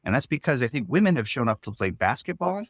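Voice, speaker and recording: A man speaking as a writer in a casual interview, explaining his ideas in a thoughtful, halting way. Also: medium pitch, big pitch swings, no echo, studio quality. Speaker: writer and storyteller.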